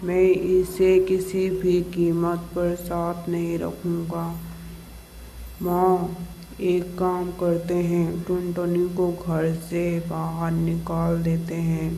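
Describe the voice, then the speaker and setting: A man playing a menacing, slow-talking crow husband. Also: high voice, monotone, dark tone, a little hiss or hum, low-quality recording. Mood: defiant, scheming. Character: cruel, threatening male crow husband who rejects daughters. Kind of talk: Hindi cartoon story voice acting.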